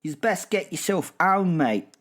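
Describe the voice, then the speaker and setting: A man voicing a grumbling, foul-mouthed older cab driver in a horror comedy audio drama, loud and blunt. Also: high-pitched, animated, measured pace, pitched above the performer's own voice. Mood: brisk.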